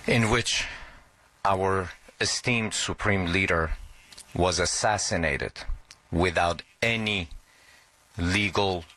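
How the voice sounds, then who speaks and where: A man interpreting a speech in a slow, solemn, deliberate way. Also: low-pitched, bright, forceful tone.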